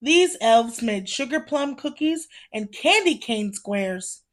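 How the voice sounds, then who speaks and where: A woman narrates a children's storybook in a fairly high voice. Her delivery is very slow and deliberate, with expressive phrasing meant for young children. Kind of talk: scripted storybook read-aloud narration.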